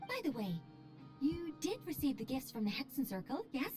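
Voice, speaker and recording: An actress playing a poised, playful, self-assured angelic mage in a video game, airily polite and gently boastful. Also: female voice, sits high, very animated, normal speed. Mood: playfully proud. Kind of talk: video game character dialogue. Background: background music.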